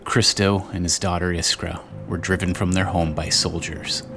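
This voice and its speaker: A man with a low voice narrates a dramatic game story from a script. His delivery is solemn and measured, with music clearly playing behind him. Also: little pitch movement.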